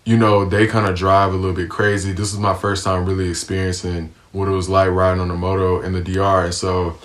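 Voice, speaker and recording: Laid-back low-voiced American man. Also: little pitch movement, brisk. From United States. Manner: laid-back, slangy, lots of ums. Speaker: vlogger. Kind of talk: casual storytime.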